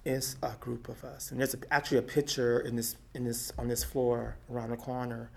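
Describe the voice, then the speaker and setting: A middle-aged woman from Texas with a deep, raspy voice, talking and laughing her way through memories in an oral history interview. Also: a little fast. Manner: lively, talkative, laughs often. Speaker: activist interviewee.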